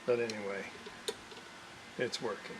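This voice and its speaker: A man giving an unscripted hands-on workbench demonstration as a hobbyist restorer, haltingly thinking aloud as he works. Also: on the low side, fairly even intonation. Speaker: hobbyist restorer presenting a repair video. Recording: noisy room recording with clear background noise.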